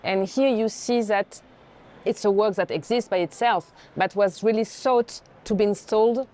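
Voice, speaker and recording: A woman's voice: mid-range voice, normal speed, dark tone. A woman speaking as a senior curator in reflective, conversational commentary on art. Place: indoor art fair. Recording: faint fair background noise.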